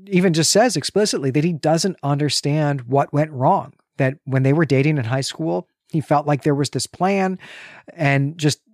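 A man's voice: fairly high pitch, no room sound, clean studio sound. A man co-hosting a book podcast, explaining things in long, thoughtful, conversational sentences. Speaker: podcast co-host discussing a novel.

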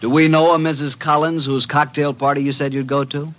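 An actor playing a breezy male detective's assistant in an old radio drama, with a wry, smooth-talking manner. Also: on the high side, little pitch movement, fairly quick, fairly bright tone, natural voice. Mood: teasing, sarcastic. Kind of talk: 1940s-50s radio drama acting and narration. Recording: vintage radio drama recording.

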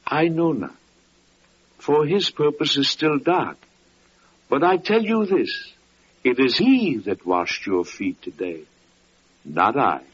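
An actor playing a gentle, pious old gatekeeper with solemn, meek archaic speech in an old-time radio drama. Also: male voice, medium pitch, big pitch swings, takes it slowly, long pauses, slightly rough, exaggerated voice. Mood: earnest, fervent. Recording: muffled, noisy vintage radio recording.

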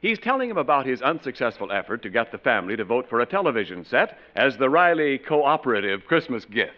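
Vintage American radio announcer, male, scripted narration with animated delivery and slightly muffled period sound. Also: medium pitch, fast talker.

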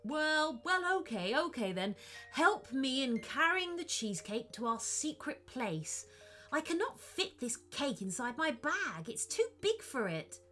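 A scheming rat gang leader, as a woman puts him on, speaking in a stiff, formal way with a high, bright voice that swings widely. He has a sly edge. It sounds like a storybook reading. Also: sing-song, unhurried, soft background music, heavily acted. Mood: wary.

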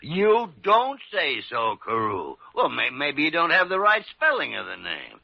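Blustery, wildly swooping senior doctor. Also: male voice, on the high side, wildly swooping pitch, a little slow, no echo, heavily acted. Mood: wily, impatient. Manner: gruff, blustering. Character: crusty, scheming, commanding senior doctor. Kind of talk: old-time radio drama acting. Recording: dry, muffled vintage radio recording.

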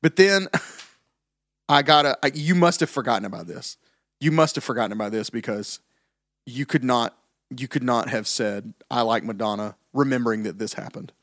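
A man's voice: medium pitch, a bit bright, no echo, clean studio sound. Blunt, dismissive male podcast host. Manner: blunt, dismissive, halting restarts. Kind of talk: casual opinionated music chat.

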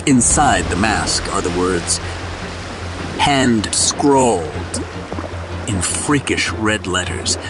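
A man narrating an audio drama in a scripted, dramatic style with measured, suspenseful phrasing. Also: lowish voice, expressive, over loud music.

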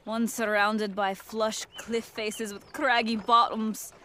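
Woman as an earnest, high-voiced adventurer. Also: level tone, slow pace, pushed and bright, slight background noise. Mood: determined. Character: earnest, sleep-deprived young adventurer. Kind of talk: fantasy audio drama acting.

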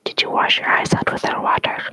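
A storyteller voicing a sly, bossy little fairy man from a folk tale in a soft whisper. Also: brisk, dry recording, lightly acted. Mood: probing.

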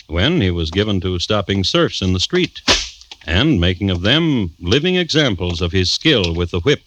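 A man hosting and narrating a 1950s radio drama in a dry, wry, measured storytelling manner. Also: on the low side. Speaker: host and narrator. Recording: noisy vintage radio recording.

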